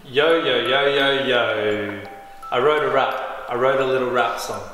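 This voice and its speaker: A man's voice: mid-range voice, measured pace, faint music behind. A young man casually reciting rap lyrics as if telling a story to friends. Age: young adult. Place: large living room indoors. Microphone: camera a few steps away. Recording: very echoey room, loud noise.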